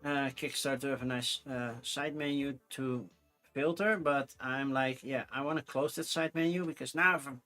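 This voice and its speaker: A man's voice: mid-range voice, steady tone, normal speed, sharp tone, soft background music. A man with a Dutch accent, a native Dutch speaker, hosting his channel in casual solo chat, rambling and often correcting himself. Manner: rambling, chatty, self-correcting with frequent fillers. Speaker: channel host.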